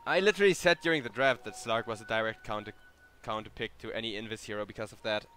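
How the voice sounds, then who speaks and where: A man's voice: on the low side, fast, a bit bright, a little hiss or hum. A tired young man from Germany, casting a live game on his own and chatting to viewers between plays. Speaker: esports caster. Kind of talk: solo live game commentary.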